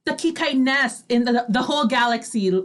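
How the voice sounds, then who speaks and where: A woman's voice: fairly high pitch, moderate pace. A woman with a Filipino accent co-hosting a casual live online radio show, upbeat and chatty. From Philippines.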